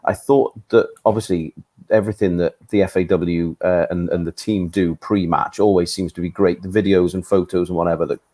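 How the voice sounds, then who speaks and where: A man hosting a post-match discussion, talking keenly but haltingly with many restarts. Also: low voice, steady tone, dark tone. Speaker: fan trust host.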